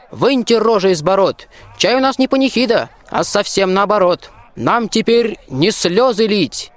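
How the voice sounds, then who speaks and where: High-voiced bold folk-tale soldier played by a young man. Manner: declamatory, folksy rhymed delivery. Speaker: student actor in a school radio play. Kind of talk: rhymed folk-tale radio play acting.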